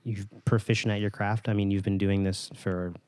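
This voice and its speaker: A man's voice: lowish voice, level tone, normal speed, a little mellow, clean tone, dry recording. A man hosting a podcast in casual interview chat, phrasing his thoughts hesitantly and encouragingly. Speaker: podcast host.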